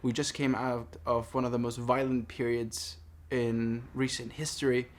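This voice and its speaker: A man in his thirties from Palestine speaking in a personal monologue, earnest, deliberate and emphatic. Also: mid-range voice, level tone. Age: 30s. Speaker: vlogger making a response video. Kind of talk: personal monologue addressed to camera.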